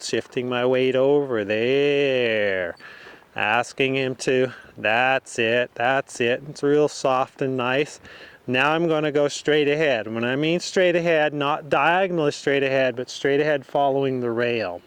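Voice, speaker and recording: Clear-voiced man giving a riding lesson. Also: medium pitch, fairly bright tone. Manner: informal, explains step by step. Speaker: horse riding instructor. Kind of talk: instructional demonstration while riding.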